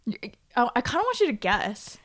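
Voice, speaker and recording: A woman's voice: on the high side, fairly quick, no echo, studio quality. A young woman in her twenties co-hosting a podcast, chatting casually and laughing often. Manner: giggly, laughs often, animated. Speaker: podcast co-host. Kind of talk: casual chat.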